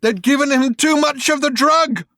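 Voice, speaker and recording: A man with an English accent voicing a drugged, distraught, half-mad journalist in a strained, hoarse, whimpering voice with melodramatic delivery for an audiobook. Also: very high-pitched, moderate pace, pushed and bright, no echo, studio quality, pitched above the performer's own voice, exaggerated voice. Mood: frantic shouting. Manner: stammering, melodramatic outbursts.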